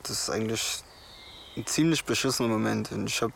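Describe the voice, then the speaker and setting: Man, slightly low voice, casual candid interviewee, faint background ambience. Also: fairly quick, vocal fry, slight background noise. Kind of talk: casual, candid personal account.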